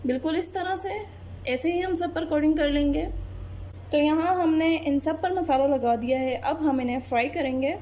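A woman, narrating a home cooking recipe step by step in a calm voice-over as channel host. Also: sits high, moderate pace, slight background noise.

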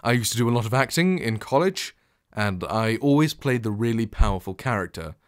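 A man's voice: expressive, a little fast, no room sound. Young man chatting in a dry studio. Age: young adult. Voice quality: deep voice. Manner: chatty, rambling, self-correcting. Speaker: vlogger comparing microphones. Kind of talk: casual talk to camera. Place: small treated home studio with acoustic foam. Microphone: studio condenser and shotgun mics close up, reflection filter.

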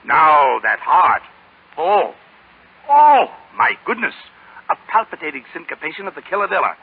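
An actor playing an oily, theatrical swindler with a raspy voice and effusive, flowery manner, in a 1930s radio drama. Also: male voice, a little high, very animated, normal speed, exaggerated voice. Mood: mock alarm. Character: theatrical, oily swindler posing as a kindly friend. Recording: muffled 1930s radio recording.

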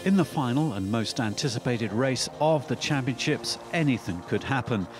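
Guy narrating a sports doc, really lively delivery, music behind him. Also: medium pitch, moderate pace. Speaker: documentary narrator. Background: background music.

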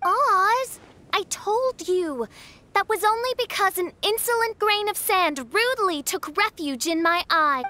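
Very high, pompous teenage princess. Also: female voice, animated, unhurried, sharp tone, exaggerated voice. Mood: flustered, indignant. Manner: pompous, archaic grand diction. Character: haughty, theatrical teenage self-styled princess, secretly easily flustered. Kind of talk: video game character dialogue.

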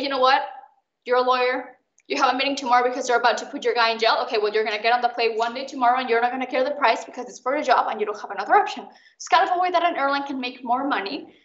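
A young woman from Mexico tutors students in a chatty, informal way, talking very fast in a fairly high voice. The recording is muffled and roomy, like an online call. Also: a bit bright. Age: young adult. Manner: chatty, explains with everyday examples. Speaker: student tutor leading an exam recap. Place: indoors, online video call.

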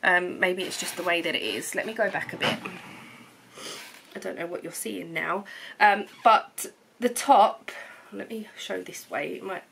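Home vlogger, thirties, bright, chatty, slightly coldy voice. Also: female voice, fairly low pitch, measured pace, gravelly, slight background noise. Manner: chatty, rambling, lots of ums and restarts. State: slightly hoarse from a cold. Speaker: knitting vlogger. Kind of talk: casual chat to camera. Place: living room at home.

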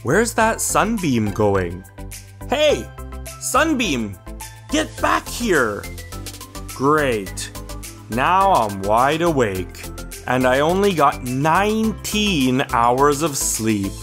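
A man's voice: mid-range voice, drawn-out words, faint music behind, full character voice. A man voicing a grumpy, dramatic, easily spooked house cat for a children's storybook read-aloud. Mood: grumpy, deadpan. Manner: deadpan grumbling with melodramatic outbursts.

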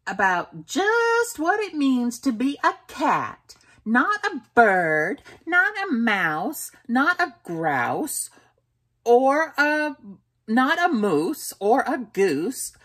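A woman voicing a bossy mother cat who scolds her kitten in a loud, high voice. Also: heavily acted.

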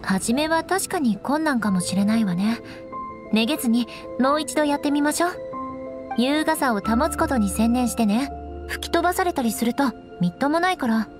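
Anime game noblewoman, young knight, high voice, proud, elegant, composed, music behind. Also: expressive, moderate pace. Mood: composed, instructing. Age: young adult. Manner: poised, elegant, haughty, instructing. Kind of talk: Japanese anime game voice acting.

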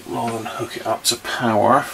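A middle-aged man giving an unhurried, muttered, casual commentary as he works at his bench. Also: medium pitch, level tone, vocal fry, background noise. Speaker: vintage radio hobbyist presenter. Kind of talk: casual hands-on demonstration commentary. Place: small indoor electronics workbench.

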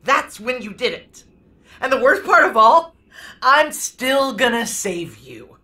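A woman's voice: on the high side, big pitch swings, slow, pushed and bright, exaggerated voice. An actress playing a bitter, desperate woman on the run in an audio drama, snapping out clipped, profane commands. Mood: bitter, furious.

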